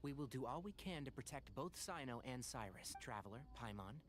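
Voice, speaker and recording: Game ranger: actor as polite young man, lively inflection, earnest authority, clearly noisy stream audio. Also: mid-range voice, fairly quick, close to an everyday voice. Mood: concerned. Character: gentle, sensible young male forest ranger, calmly authoritative. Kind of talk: video game character acting.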